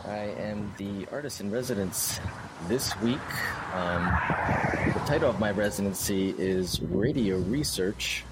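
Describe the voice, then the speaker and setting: A man introducing a radio broadcast as artist in residence, in a relaxed, loose way with fillers. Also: fairly low pitch, measured pace. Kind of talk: radio station announcement. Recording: loud background noise.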